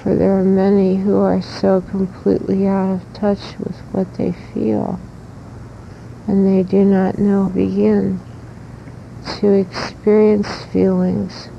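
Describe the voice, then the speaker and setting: A woman speaks as a spiritual teacher in an extremely slow, deliberate way, breaking her thoughts into short phrases. Her delivery stays very even, with a gravelly creak in the voice. It comes from a muffled old cassette with noise and rumble. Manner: deliberate, instructive, halting phrases.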